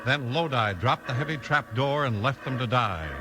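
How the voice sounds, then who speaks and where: A man's voice: medium pitch, moderate pace. A man with an American accent giving scripted narration for a 1950s radio drama. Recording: old radio recording over music.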